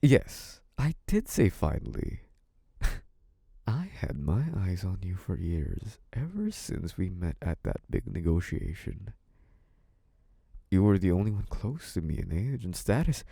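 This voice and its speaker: An actor playing a possessive, scheming young mafia boss in a theatrical, condescending, menacing monologue. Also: male voice, low voice, vocal fry, no echo, studio quality, exaggerated voice. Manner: menacing, condescending, theatrically drawn-out delivery with dark chuckles. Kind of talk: theatrical scripted audio roleplay monologue.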